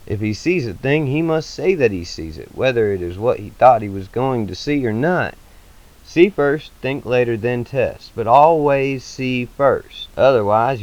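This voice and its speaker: A man voicing an eccentric, gentle male scientist, earnest and childlike, with slow, emphatic phrasing in a story reading. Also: medium pitch, highs cut off, pitched above the performer's own voice, lightly acted.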